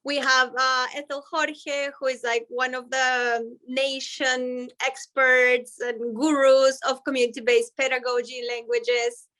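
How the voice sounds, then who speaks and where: A woman presents her language program over a video call. Her voice sits high and sounds bright and clear. She explains things in long, eager sentences. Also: little pitch movement, unhurried, hardly any pauses. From Colombia. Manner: upbeat and explanatory, speaks in long flowing sentences. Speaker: language professor. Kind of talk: presenting in an online panel.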